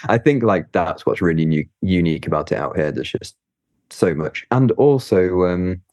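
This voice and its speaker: A man's voice: low-pitched, expressive, moderate pace, a little mellow, no room sound, muffled recording. A man being interviewed as a guest, chatty and hesitant, with lots of fillers and easy laughs. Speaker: guest climber. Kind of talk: casual interview chat.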